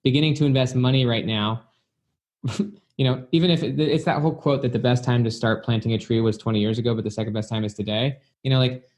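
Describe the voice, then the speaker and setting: A man's voice: medium pitch, steady tone, fast talker, a little mellow. A man speaking as a guest in a conversational, motivational style, earnest and often circling back to repeat his point.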